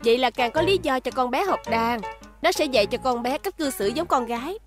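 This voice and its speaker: A woman's voice: high-pitched, lively intonation, a little fast. A firm young mother in a cartoon dub. She argues her point persistently and will not budge, with music under her words. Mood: insistent. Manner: persistent, argumentative. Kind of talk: cartoon dubbing. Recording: music behind the dialogue.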